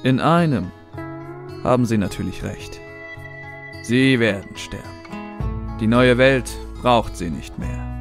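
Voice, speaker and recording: A man's voice: medium pitch, slow, creaky, pauses often, music in the background. A man playing a fanatical scientist who preaches a new cosmic order in a solemn, grandiose way for a horror audio drama. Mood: cold.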